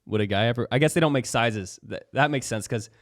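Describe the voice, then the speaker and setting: A man's voice: mid-range voice, fairly quick, no echo, studio quality. A young man hosting a podcast in casual chat, talking loosely and laughing often as he acts out quoted lines. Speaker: podcast host. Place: studio.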